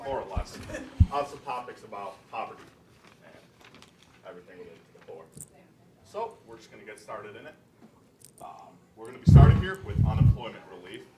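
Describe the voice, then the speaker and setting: A man's voice: fairly low pitch, very animated, brisk, pauses often. A young man presents at a live readers theater event. His voice rings in a roomy space, some way from the microphone, with heavy noise over the recording. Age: young adult. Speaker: student presenter. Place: college library event room. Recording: roomy, distant mic, loud noise.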